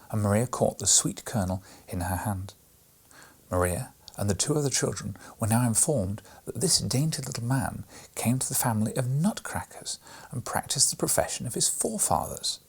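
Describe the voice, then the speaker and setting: Expressive husky male storyteller. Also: medium pitch, normal speed. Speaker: reader, channel host. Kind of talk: audiobook narration of a children's story.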